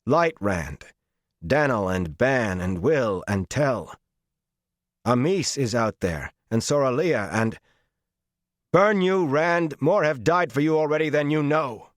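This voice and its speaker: A man's voice: mid-range voice, animated, slow, no room sound, clean studio sound, pitched above the performer's own voice. A man voicing an earnest, anxious young warrior who speaks firmly and urgently in a fantasy audiobook. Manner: firm, urgent, pleading. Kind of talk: audiobook character acting.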